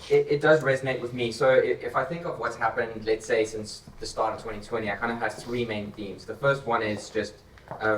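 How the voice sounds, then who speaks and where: A South African man on a conference stage talks in a low, unhurried voice, often repeating words while he works out his point. The sound comes from a distance, full of hall echo. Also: slight background noise. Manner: relaxed, thinking aloud with repeated words. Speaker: panelist, startup co-founder. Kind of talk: conference panel talk. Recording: echoey hall, mic heard from far off.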